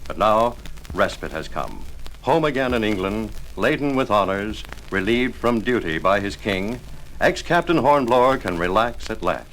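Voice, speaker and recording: A man's voice: lowish voice, expressive, normal speed, fairly bright tone. A man announcing an old-time radio adventure drama in a grand, declamatory storytelling style. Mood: grand, dramatic. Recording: faintly noisy old radio transcription.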